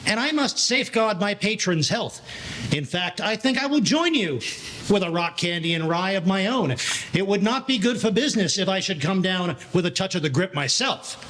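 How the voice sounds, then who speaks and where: Genial Manhattan barkeep, male actor, 1940s radio drama, wordy, animated delivery, faint noise. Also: sits high, moderate pace. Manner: wordy, mock-formal. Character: genial 1940s Manhattan bartender, male, Runyonesque formal talker. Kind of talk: 1940s radio play acting.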